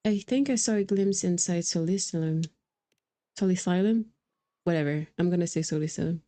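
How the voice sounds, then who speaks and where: A woman's voice: lowish voice, brisk, dark tone. A woman doing casual live game commentary as a streamer, informal and joking, speaking into a microphone. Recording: game music behind the voice. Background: faint game music.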